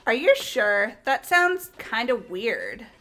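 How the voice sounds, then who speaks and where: A woman's voice: a little high, wildly swooping pitch, takes it slowly, sharp tone, exaggerated voice. A woman voicing a frank, casual, questioning teenage girl in a fan-voiced game. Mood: doubtful. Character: frank, doubtful teenage girl, plain-spoken. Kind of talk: fan-voiced visual novel game acting.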